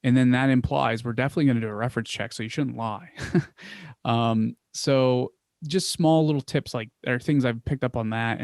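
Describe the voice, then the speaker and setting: A young man with a Philadelphia accent, answering interview questions casually, trailing off quietly at times, with many fillers and restarts. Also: mid-range voice, clear, resonant tone, no echo, clean studio sound. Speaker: podcast guest, founder.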